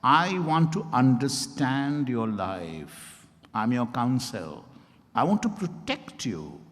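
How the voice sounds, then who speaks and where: An actor playing an insistent, smoothly persuasive male doctor and counsel in a formal, theatrical stage reading. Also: medium pitch, sing-song, slow. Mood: probing, persuasive. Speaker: stage reader in a dramatized reading. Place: event hall at a hotel, live stage reading. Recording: echoey hall with long reverb.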